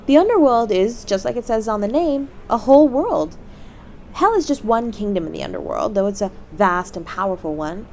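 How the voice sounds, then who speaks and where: A cheery demon front-desk host, acted by a woman in an audio roleplay, speaking straight to the guest. The delivery swings up and down in pitch with bubbly, courteous sales polish. Faint ambient noise sits behind the voice. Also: expressive, normal speed, a little mellow, lightly acted. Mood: cheery, reassuring. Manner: bubbly, courteous customer-service patter. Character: cheery demon hotel receptionist, eager and polite, salesy. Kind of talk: scripted audio roleplay, addressing the listener as a guest.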